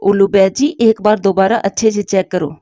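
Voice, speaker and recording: A woman's voice: mid-range voice, steady tone, normal speed, warm tone, dry recording, natural voice. A woman voicing an earnest, insistent male pigeon neighbour in a cartoon.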